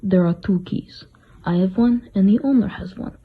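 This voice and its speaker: A man's voice: high voice, moderate pace, slightly rough. A man playing a grave, stoic ex-military Russian butler, speaking tersely and formally in an amateur radio play on a muffled microphone. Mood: accusing, grave. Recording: muffled, dull microphone sound.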